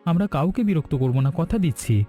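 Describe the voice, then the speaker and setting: A man's voice: fairly high pitch, lively intonation, fast talker, mellow, faint music behind, natural voice. A man playing an honest, dutiful poor young village oil seller in a cartoon fairy tale, earnest, polite and pleading.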